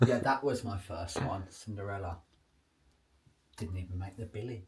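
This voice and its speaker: A man's voice: lowish voice, moderate pace, a little mellow. A man, a pantomime actor, talking in an earnest way about his years on the show. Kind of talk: casual interview chat. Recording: echoey hall.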